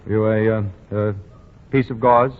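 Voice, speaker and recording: A man's voice: lowish voice, fairly even intonation, a little slow, some chatter behind, lightly acted. An actor playing a self-assured young male store boss in a 1940s radio drama who is pompous and stammers and chuckles. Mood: fussy. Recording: dull 1940 radio broadcast.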